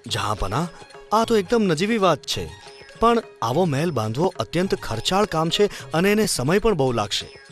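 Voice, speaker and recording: An actor voicing a clever, calm royal adviser in a children's cartoon story, speaking in a reassuring way. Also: male voice, a little high, normal speed, soft background music.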